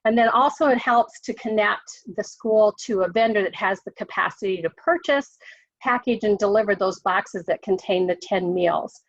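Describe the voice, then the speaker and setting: A woman official with an American accent, hoarse from a cold, briefing on a conference call, halting with many ums and restarts. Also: medium pitch, no room sound. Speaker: state education official. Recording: dry muffled call audio.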